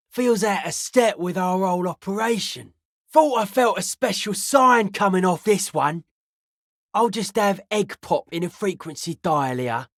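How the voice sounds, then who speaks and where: A woman's voice: fairly low pitch, no room sound, clean studio sound. A woman voicing an earnest young male raver and amateur psychic in a video game, slangy, cryptic and matter-of-fact. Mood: cool, appraising. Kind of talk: video game character dialogue.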